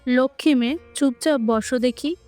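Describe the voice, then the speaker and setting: A woman's voice: sits high, moderate pace, darkish tone, clear, resonant tone, soft background music, pitched above the performer's own voice, close to an everyday voice. A woman voicing a playful, chatty, bossy young woman who acts like a big sister in a romantic audio story. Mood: coaxing, affectionate.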